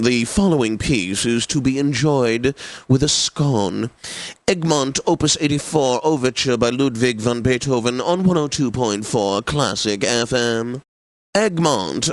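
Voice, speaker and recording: A pompous, upper-crust classical radio DJ acted by a man for a video game. He has a medium-pitched voice and savors each word with affected, careful enunciation. The delivery is theatrical, snobbish and self-satisfied, recorded close and very dry. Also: no echo, close to an everyday voice. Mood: smug, refined.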